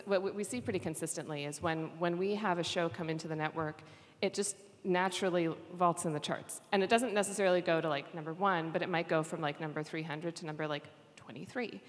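A woman's voice: lowish voice, brisk. A woman speaking casually on a panel, thinking aloud as a network executive would in a conversational discussion. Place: conference session room. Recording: echoey hall, long reverb.

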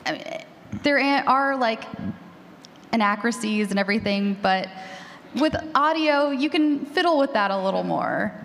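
A woman's voice: a little high, animated, moderate pace, vocal fry, fairly bright tone, a little hiss or hum. A woman speaking informally on a panel as a horror fiction podcaster. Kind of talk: casual panel discussion. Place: large echoey room at a convention panel. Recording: echoey hall panel recording.

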